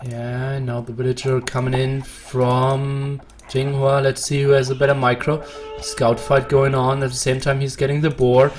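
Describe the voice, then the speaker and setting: A man casting a live strategy game, calling the action play-by-play in a brisk manner. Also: medium pitch, completely flat delivery, faint music behind. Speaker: esports caster.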